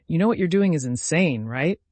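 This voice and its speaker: A man's voice: on the high side, animated, fairly quick, a little mellow, no room sound. A man voicing a worried, frank friend who pleads and cautions in a dramatic audio story.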